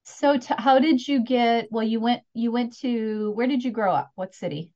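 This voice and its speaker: A woman's voice: fairly high pitch, moderate pace, dark tone, dull audio. A woman hosting an interview podcast, enthusiastic and encouraging as she asks questions. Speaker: podcast host.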